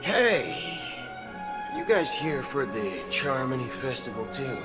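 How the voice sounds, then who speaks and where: A man's voice: medium pitch, very animated, slow pace. A man playing a brash, impatient young traveler in a video game, informal and slangy, shifting between chatty and muttering. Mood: chatty, probing. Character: brash young male traveler fishing for clues, casual and impatient. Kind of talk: animated video game voice acting. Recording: loud game music underneath.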